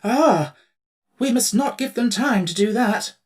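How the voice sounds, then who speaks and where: A man's voice: very high-pitched, unhurried, pitched above the performer's own voice. A stately metal emperor with a lifted, ringing voice and polished, ceremonious phrasing, as a male audiobook reader puts him on. He speaks grandly and courteously, full of dignity. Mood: alarmed. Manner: formal and gracious, exclamatory. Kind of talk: audiobook character voice.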